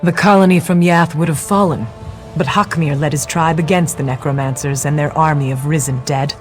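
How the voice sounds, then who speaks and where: An actress playing a young woman of a desert tribe in a game, recounting her people's history in a measured tone. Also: low-pitched, fairly even intonation, darkish tone, faint music behind, close to an everyday voice. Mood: solemn. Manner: measured storytelling tone. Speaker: game character.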